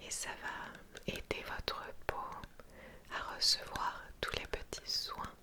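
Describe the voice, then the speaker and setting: Slow whispering woman, ASMR spa roleplay. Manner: soothing, gentle, attentive whispering. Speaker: ASMR creator playing a spa beautician.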